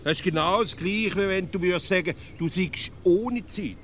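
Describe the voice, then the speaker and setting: An actor playing a literal-minded, nitpicking provincial everyday philosopher in a comic radio play, speaking German with a Swiss accent. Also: male voice, high-pitched, a bit bright, a little hiss or hum. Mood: earnest, musing. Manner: deliberate, nitpicking, earnest.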